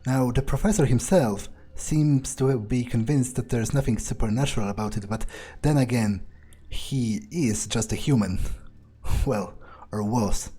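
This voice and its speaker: Husky, foul-mouthed vampire rogue, a man roleplaying. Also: mid-range voice, warm tone. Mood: casual, hesitant. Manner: halting, improvised, swearing freely. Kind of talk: in-character game roleplay.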